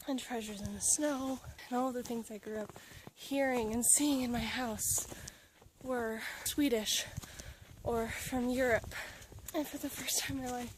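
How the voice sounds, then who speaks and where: A young woman from Alaska talks to her handheld camera outdoors, earnest and emotional. Her voice sits a little high. She laughs easily and slips into soft, breathy asides. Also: slight background noise, poor audio. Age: young adult. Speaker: travel vlogger. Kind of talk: casual vlog talk to camera. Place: outdoors in a mountain town.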